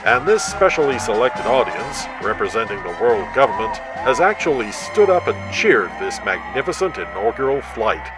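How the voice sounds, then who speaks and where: A rousing male radio commentator played by a man declaims with pride and enthusiasm. The mid-range voice swings widely in pitch over prominent music, in an audio-drama outside broadcast. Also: very animated, normal speed, few pauses, lightly acted, low-quality recording.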